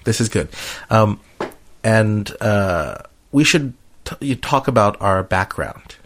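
American man, middle-aged podcast host, low voice, unhurried pace, halting casual conversation. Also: lively intonation, a bit bright.

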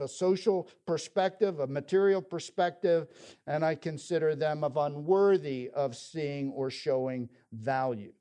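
A man's voice: on the high side, warm tone. A man preaching a sermon, telling a story and quoting scripture with emphasis. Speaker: pastor.

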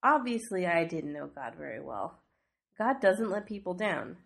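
Stuffy-nosed woman hosting a devotional podcast. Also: fairly low pitch. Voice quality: snuffly, stuffy nose. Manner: earnest, conversational teaching with rhetorical questions. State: congested from a cold. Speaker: podcast host.

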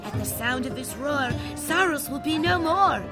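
A woman's voice: high-pitched, lively intonation, normal speed. A woman voicing a solemn, devoted middle-school girl with a formal, reciting delivery. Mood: reverent. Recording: loud music behind.

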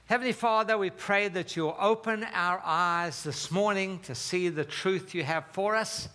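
Man in his 70s from south africa preaching, bright edgy voice, easygoing and chatty. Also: sits high. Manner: conversational, with fillers, slips and pauses. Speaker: pastor. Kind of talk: sermon.

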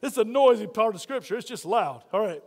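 A man preaching a sermon as pastor, loud and folksy, full of playful asides to the congregation. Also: sits high, expressive, quick pace, big-room sound.

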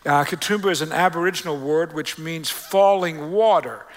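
Male pastor, slightly high-pitched, conversational preaching. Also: moderate pace. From Australia. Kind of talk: sermon to a congregation. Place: church, preaching before a congregation.

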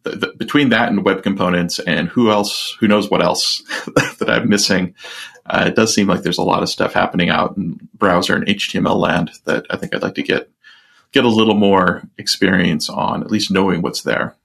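A man's voice: low-pitched, steady tone, normal speed, vocal fry, fairly bright tone, breathy edge. A man co-hosting a podcast, chatting casually and rambling with many fillers and restarts. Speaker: podcast co-host. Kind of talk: casual tech chat.

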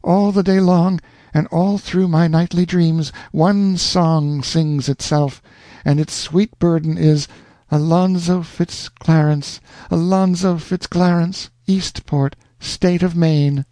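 A woman's voice: lowish voice, fairly even intonation, slow pace, warm tone, dry recording, studio quality, voice pushed up. A man voicing a young woman in love, gushing with breathless devotion in a storybook reading. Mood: rapturous.

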